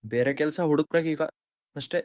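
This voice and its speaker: A man's voice: fairly high pitch, brisk, a little mellow, dry recording, studio quality, natural voice. A man with an Indian accent playing an earnest, dejected young employee, apologetic and hesitant, in short-film drama dialogue. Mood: weary, placating.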